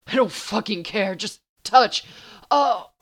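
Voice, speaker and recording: A woman's voice: lowish voice, a little slow, a bit bright, husky, exaggerated voice. A woman voicing a blunt, flustered man in an audiobook romance, urgent and impatient, between whining and growling. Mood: urgent, pleading. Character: impatient, flustered, gruff man, pleading with his lover.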